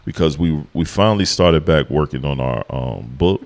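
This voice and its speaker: A man co-hosting a podcast, with a deep voice that carries, talking in a relaxed, rambling way with lots of fillers. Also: moderate pace. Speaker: podcast co-host. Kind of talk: casual chat.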